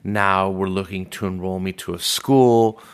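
A man in his fifties, born in Iran, telling his life story in a measured, reflective way during a podcast interview. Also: sits low, steady tone, clear, resonant tone. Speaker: podcast host being interviewed. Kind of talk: conversational interview.

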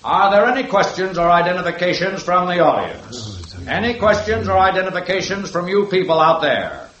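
A commanding police sergeant. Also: male voice, high voice, big pitch swings, a little fast, fairly bright tone, dull audio. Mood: firm, official. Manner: firm, orderly, barks instructions. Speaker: police sergeant running the lineup. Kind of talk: 1950s radio drama acting. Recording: echoey old radio broadcast.

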